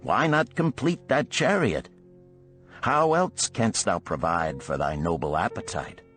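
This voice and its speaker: A man's voice: fairly low pitch, expressive, measured pace, a bit bright, faint music behind, pitched above the performer's own voice. A man voicing a sociable male musician of ancient Babylon in an audiobook, with elaborate, archaic, theatrical speech. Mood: surprised, concerned. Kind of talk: audiobook character voice.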